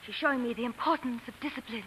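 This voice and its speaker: An actress playing a spunky, plain-spoken young nurse trainee in a 1940s radio drama, forthright and folksy. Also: female voice, a little high, rapid-fire, a bit bright, natural voice. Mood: feisty. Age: young adult. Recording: noisy vintage 1940s radio broadcast.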